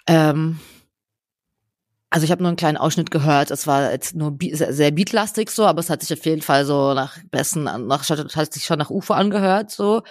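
Young adult co-host in casual chat, halting and searching for words, mid-range voice, dry sound. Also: mid-range voice, no echo. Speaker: podcast co-host.

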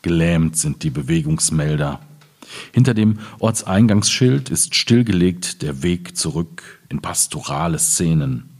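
A man speaking as a poet at a literary reading, in measured, clearly phrased German delivery. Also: sits low, a little mellow. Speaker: poet reading his own work. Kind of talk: literary reading of poems with informal introduction.

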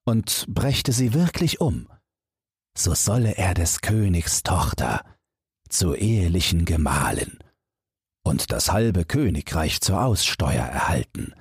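A man narrating a fairy tale audiobook for bedtime in a measured, expressive storytelling manner. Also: low voice, breathy edge, dry recording, studio quality.

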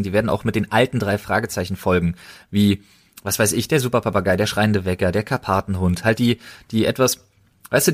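A man with a fairly low voice co-hosts a casual podcast. He talks colloquially and emphatically, in an enthusiastic storytelling way. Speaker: podcast co-host.

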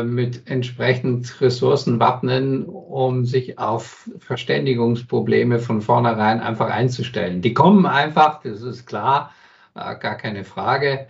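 A man's voice: medium pitch, vocal fry. A man speaking as an industry consultant guest in an interview, answering thoughtfully with frequent hesitations and restarts. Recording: dull sound from some distance.

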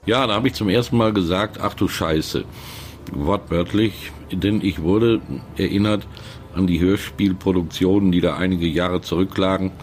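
An older man with a deep voice recounts something as an interviewed author. The clip carries faint noise and a low rumble. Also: moderate pace, a little mellow.

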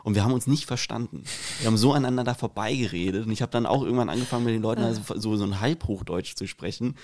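A man with a Hessian accent tells a long story at a steady pace, often repeating a word before he gets going. His voice sits a little low for a man. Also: rapid-fire, slight background noise. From Rüsselsheim, Germany. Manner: hesitant, repeats words when starting. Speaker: podcast co-host. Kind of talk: casual anecdote telling.

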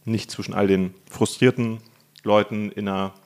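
A lower-voiced man from Berlin chats loosely on a video podcast, talkative and quick to laugh. He is in his thirties and sounds at ease, recorded on a studio microphone. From Berlin, Germany. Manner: relaxed, talkative, laughs easily. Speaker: guest, cooking YouTuber. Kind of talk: casual podcast chat over food.

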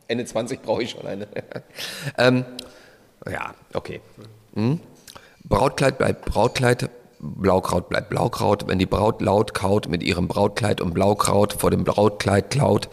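Male host, low and gravelly, rambling live-stage chat, long reverb. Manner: rambling, with many hesitations and laughs. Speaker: podcast host. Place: large live venue hall.

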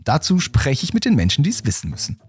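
A man's voice: fairly high pitch, huge pitch swings, fast, soft background music. A man hosting a podcast, chatting casually in an interview with a child guest. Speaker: podcast host.

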